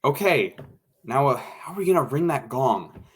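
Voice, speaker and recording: A man's voice: medium pitch, very animated, moderate pace, slightly rough. A man playing a bossy, impatient radio show producer in a 1940s-style radio play. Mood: instructing. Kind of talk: old-time 1940s radio play acting.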